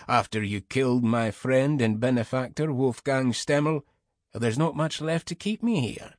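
A man's voice: medium pitch, dry recording, clean studio sound, lightly acted. A man voicing a smug middle-aged Scottish mercenary commander in an audiobook, with a mocking, falsely polite manner.

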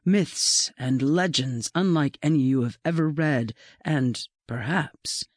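A narrator voicing a gentle, mysterious male librarian who speaks softly and reverently, with measured dramatic pauses, in a storybook reading. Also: mid-range voice, dry recording, studio quality. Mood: hushed, reverent.

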